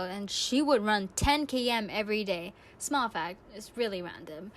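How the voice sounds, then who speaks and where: A woman's voice: on the high side, a bit bright, slight background noise. A young woman hosting a radio-style podcast, telling personal stories to listeners in a reflective, earnest way. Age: young adult. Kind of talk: personal anecdote, monologue to listeners.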